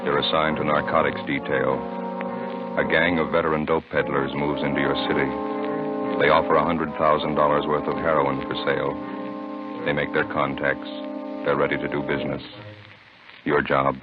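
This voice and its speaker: A very deep-voiced American man narrates in an even, solemn tone. It is scripted old-time radio, thin and phone-like, with loud music behind. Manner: solemn, measured, terse. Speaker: announcer. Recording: narrow-band vintage radio, music behind. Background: dramatic music.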